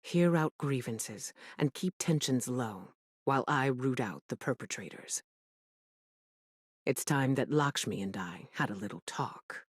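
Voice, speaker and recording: An actress playing a calm, resolute middle-aged commander giving a measured, authoritative mission briefing in a video game. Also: female voice, very deep voice, slightly rough, no room sound, studio quality, heavily acted. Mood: grave, resolute.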